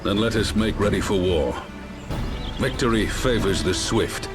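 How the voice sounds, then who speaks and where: An actor playing a stern military leader in a fantasy game, with a decisive, authoritative and formal delivery. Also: male voice, on the low side, a little slow, over music, lightly acted. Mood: resolute. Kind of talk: fantasy game cutscene acting.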